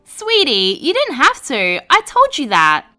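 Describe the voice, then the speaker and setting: A woman's voice: sits high, wildly swooping pitch, a little slow, bright, forceful tone, soft background music, exaggerated voice. A woman voicing a young woman who is a former best friend turned stepmother, speaking in a sweet, coaxing, pleading way.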